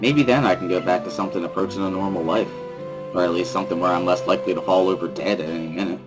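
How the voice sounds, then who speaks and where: A man's voice: deep voice, fairly even intonation, quick pace, loud music behind the voice. A man giving a first-person scripted narration, reading a visual novel aloud as a dramatic reading. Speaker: reader of a dramatic reading series.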